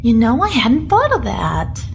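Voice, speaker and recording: Sci-fi drama crewwoman, acted, high voice, unhurried and friendly, music underneath. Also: huge pitch swings, creaky, exaggerated voice. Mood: casual. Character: restless, friendly female starship crewmate. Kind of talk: audio drama acting.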